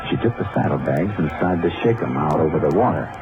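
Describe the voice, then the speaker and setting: An actor playing a steady, shrewd American Texas Ranger in a 1950s radio drama, on a thin vintage recording. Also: male voice, very deep voice, fast, narrow, tinny audio, music in the background. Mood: measured narration.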